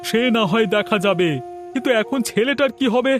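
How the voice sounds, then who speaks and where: A man's voice: very high voice, a little fast, music in the background. A man voicing an exasperated but indulgent young boy's father in a Bangla cartoon.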